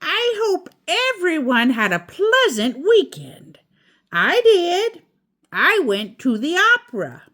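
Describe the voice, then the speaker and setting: Storybook schoolteacher, played by a woman reader: kind, encouraging, unhurried, very melodic delivery. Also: high voice, wildly swooping pitch, pitched above the performer's own voice, heavily acted. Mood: cheerful. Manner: gentle, slow, encouraging, teacherly. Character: kind, gentle woman schoolteacher in a picture book.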